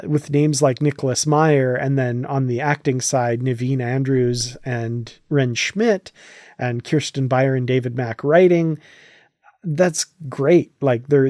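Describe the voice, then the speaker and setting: A man's voice: medium pitch, a little mellow, no echo, studio quality. A man hosting a casual podcast discussion, talking in an enthusiastic, conversational way. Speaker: podcast host.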